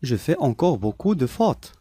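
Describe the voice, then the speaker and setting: A man reading short phrases slowly and clearly for learners, repeating each sentence three times. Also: medium pitch, big pitch swings, warm tone, no room sound, studio quality. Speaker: language lesson voice.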